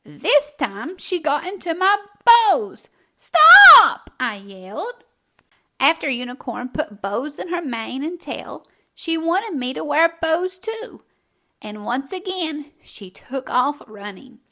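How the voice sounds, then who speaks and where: High-pitched, animated woman storyteller. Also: takes it slowly, faint music behind. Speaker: children's storytime host and narrator. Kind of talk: expressive read-aloud storytelling for young children.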